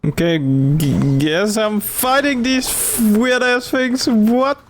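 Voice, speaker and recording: A woman's voice: a little high, slow, slight background noise. A woman giving casual, offhand gameplay commentary in colloquial wording, reacting as she plays. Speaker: gamer playing through a video game. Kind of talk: casual let's play commentary. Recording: game music behind commentary.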